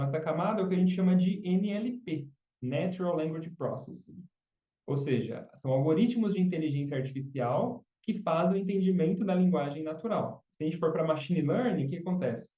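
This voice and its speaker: A man's voice: on the high side, distant mic. A man who is a podcast guest, explaining chatbots patiently in casual interview talk and often ending with tag questions. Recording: dull, roomy sound from off mic.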